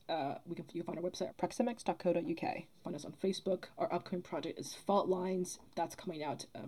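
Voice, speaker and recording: A woman speaking as a podcast guest in casual chat, halting and rephrasing as she goes. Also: fairly low pitch, dark tone, slightly rough. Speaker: podcast guest, theatre producer.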